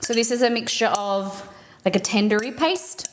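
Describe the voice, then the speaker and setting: A woman's voice: a little high, moderate pace, background noise. Chatty Australian woman, cooking stream. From Perth, Western Australia. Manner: chatty, with frequent "um" and "like" fillers. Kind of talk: casual live-stream chat while cooking.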